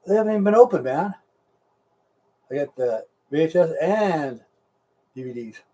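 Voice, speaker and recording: Middle-aged or older American male streamer, mellow and relaxed tone, blunt folksy rambling with plenty of swearing. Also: a little high, moderate pace, distant mic. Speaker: live stream host. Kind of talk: casual rambling chat with viewers.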